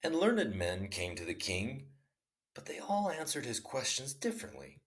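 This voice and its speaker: A man reading a classic short story aloud in an expressive, dramatic storytelling style. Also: mid-range voice, moderate pace. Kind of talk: read-aloud story narration.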